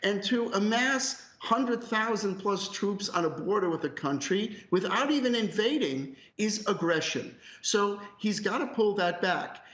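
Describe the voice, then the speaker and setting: A man's voice: high voice, animated, a little slow, hardly any pauses, fairly bright tone. A man speaking as an academic guest analyst on live news, conversational but emphatic, opinionated and direct. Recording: roomy echo, slightly muffled call audio.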